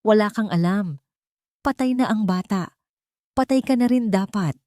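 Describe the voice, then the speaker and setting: A woman's voice: mid-range voice, moderate pace, warm tone, no room sound, studio quality, voice pushed up, close to an everyday voice. A Filipino woman voicing a cold, threatening, murderous husband in an audiobook drama. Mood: furious. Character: cold, menacing villainous husband plotting murder.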